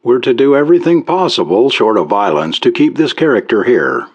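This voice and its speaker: Guy playing skeptical state cop in a story, brisk and plain spoken, lively pitch, slightly dull audio. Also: breathy edge. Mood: urgent, official. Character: brisk, skeptical male American police officer.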